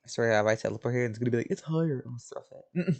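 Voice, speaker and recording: A woman's voice: rumbling low, racing, creaky, a little mellow. A woman giving casual, reactive gameplay commentary, giggly, affectionate and slangy. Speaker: game streamer. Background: game music.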